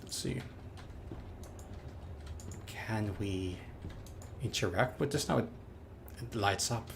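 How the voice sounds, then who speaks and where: Halting man streaming. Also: on the low side, gravelly, noisy background. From Norway. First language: Norwegian. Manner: halting, repeats words, chatty with viewers. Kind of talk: live gameplay commentary.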